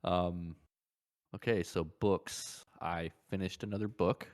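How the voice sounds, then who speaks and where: A man's voice: sits low, fairly even intonation, takes it slowly. A man co-hosting a podcast, talking in an informal, conversational way. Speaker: podcast co-host. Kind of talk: casual chat.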